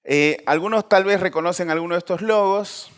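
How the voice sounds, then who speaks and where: A man's voice: high-pitched, brisk, smooth. A man in his forties from Peru, giving a conversational personal testimony full of fillers and restarts. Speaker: speaker.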